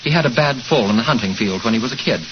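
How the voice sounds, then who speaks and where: A man's voice: mid-range voice, lively intonation, quick pace, natural voice. An actor playing a young man in a 1940s radio mystery, explaining things in an earnest, hesitant way. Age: young adult. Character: young man, troubled cousin hoping to marry. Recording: lo-fi 1940s radio broadcast with clear hiss.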